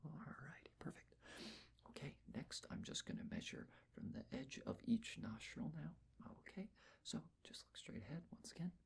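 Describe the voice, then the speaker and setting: A person of unclear sex whispering gently in an ASMR roleplay, giving reassuring step-by-step instructions in a breathy voice. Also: medium pitch, animated, normal speed. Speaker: ASMR performer playing someone measuring a client's face.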